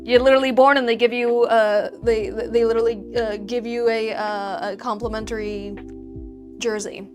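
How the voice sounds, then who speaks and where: A woman's voice: a little high, flat delivery, moderate pace, faint music behind. A young woman from Argentina hosting a casual livestream, chatting with viewers in a self-teasing way and laughing often. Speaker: livestream host.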